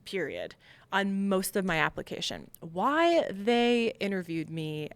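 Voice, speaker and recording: A woman's voice: mid-range voice, sing-song, measured pace, smooth, no room sound. An American woman giving a candid, emphatic personal account as an interview guest, acting out remembered remarks. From Long Island, New York, USA. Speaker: podcast guest, military veteran.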